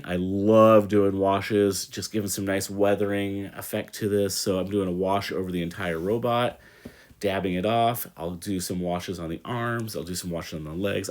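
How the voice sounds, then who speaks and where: A man narrating a hobby build step by step in a casual, chatty, informal way. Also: lowish voice. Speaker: host of a toy-making channel.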